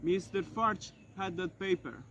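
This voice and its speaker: A man playing a regretful French doctor and former prisoner in an amateur dramatized monologue, speaking haltingly and correcting himself. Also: sits high, sharp tone, full character voice. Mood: helpless, regretful. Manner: halting, hesitant, self-correcting delivery. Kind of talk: amateur dramatized monologue retelling past events. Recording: dull lo-fi sound, faint noise.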